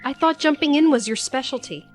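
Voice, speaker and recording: A woman's voice: high voice, lively intonation, fairly quick, faint music behind. A woman acting in an audio drama as a curious, cheeky young travelling companion with a light, teasing manner.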